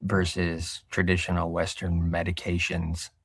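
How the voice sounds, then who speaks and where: Male podcast host, very low voice, even delivery, relaxed and reflective, dry sound. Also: breathy edge, no echo. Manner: relaxed, reflective, with filler pauses. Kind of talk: casual interview.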